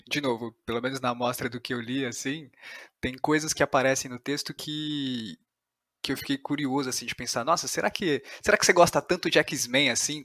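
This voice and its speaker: A man's voice: medium pitch, a bit bright, dry recording, studio quality. A Brazilian man interviewing casually on a podcast, circling toward his questions in a tentative, conversational way.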